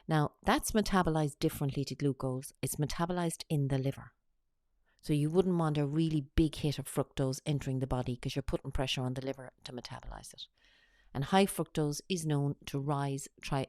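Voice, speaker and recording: A woman talks through a slide presentation. She has a cold and explains each point in a steady, teacherly way. The sound is close and dry, with no room around it. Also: sits low, dry recording. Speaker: weight-loss coach.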